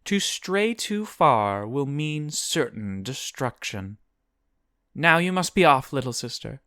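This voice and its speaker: A narrator voicing a huge, ancient female demon who speaks slowly and formally, intimate with kin and contemptuous of mortals. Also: mid-range voice, big pitch swings, a bit bright, no echo, clean studio sound, pitched above the performer's own voice, full character voice. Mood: regretful, commanding. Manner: slow, formal, archaic phrasing.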